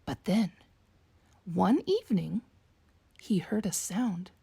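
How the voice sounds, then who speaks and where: A woman reading a children's picture book aloud in an expressive, slow, storytelling manner. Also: mid-range voice, gravelly, husky. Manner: slow, animated read-aloud delivery for young children. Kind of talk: expressive bedtime storybook narration.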